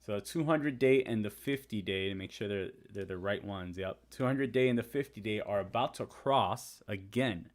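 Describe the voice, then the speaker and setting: Low-voiced man chatting on a live stream. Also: normal speed, few pauses. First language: Spanish. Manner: chatty, reads and answers viewer comments; talks through trading charts. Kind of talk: casual live-stream chat.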